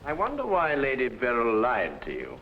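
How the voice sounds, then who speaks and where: A man's voice: medium pitch, huge pitch swings, moderate pace. A man playing a brilliant, eccentric amateur chemist detective in an old-time drama, musing and politely distracted. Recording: noisy, clicky, muffled old broadcast recording.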